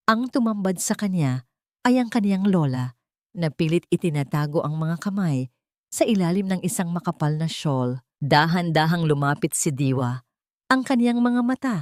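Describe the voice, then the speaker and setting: A woman with a low voice narrates a dramatic audio story. Her delivery is measured and solemn, and the recording is dry and close. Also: darkish tone, no echo.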